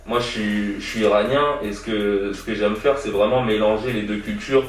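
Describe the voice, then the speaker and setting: A man's voice: lowish voice, steady tone, normal speed. Hesitant young man, echoey and distant. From born in Iran, raised in France. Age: young adult. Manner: hesitant, many fillers and repeated words. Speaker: guest artist. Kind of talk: casual interview answers. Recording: echoey room, distant from mic.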